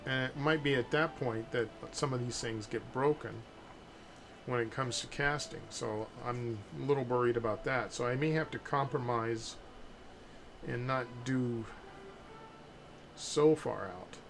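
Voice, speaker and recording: Gravelly, easygoing male sculptor. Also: mid-range voice, a little slow, over music. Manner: relaxed, joking, thinking aloud while sculpting. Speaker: sculptor streaming live. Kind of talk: casual chat while working.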